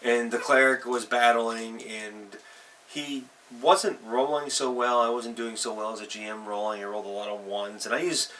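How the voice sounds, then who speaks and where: A man hosting a role-playing advice show, telling anecdotes in a casual, halting monologue and often correcting himself as he goes. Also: mid-range voice, fairly even intonation, a bit bright. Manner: halting, self-correcting, rambling. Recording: distant mic with faint background noise.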